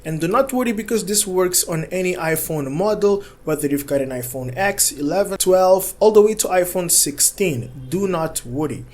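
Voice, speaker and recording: A man's voice: high voice, expressive, normal speed, few pauses, a little mellow. A man hosting a tech tutorial, narrating steps casually in an instructional, reassuring way and often checking in with a short tag.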